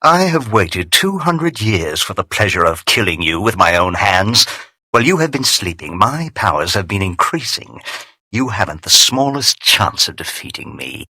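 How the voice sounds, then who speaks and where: Sneering, raspy, low-voiced vampire villain. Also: male voice, very animated, moderate pace, sharp tone, no echo, heavily acted. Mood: gloating, mocking. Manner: theatrical, mocking, formal archaic phrasing. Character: gloating, treacherous male vampire henchman, sneering and spiteful. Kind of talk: dramatic video game voice acting.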